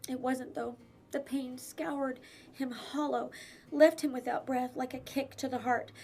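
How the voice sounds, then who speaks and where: Expressive woman reading a children's story, music behind. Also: medium pitch. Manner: dramatic, with emphatic phrasing and pauses. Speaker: teacher reading aloud.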